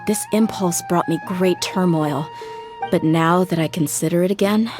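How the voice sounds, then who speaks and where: A woman's voice: fairly low pitch, normal speed, lightly acted. An actress voicing a tough but weary young female mercenary in a video game, candid and reflective, speaking plainly and loyally. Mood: resolute. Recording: music behind. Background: game music.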